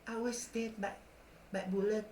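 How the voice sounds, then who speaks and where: A man's voice: high-pitched, flat delivery, measured pace, a little mellow, recorded from a distance, slight background noise, voice pushed up. Young man putting on a pained teenage ghost. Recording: roomy echo.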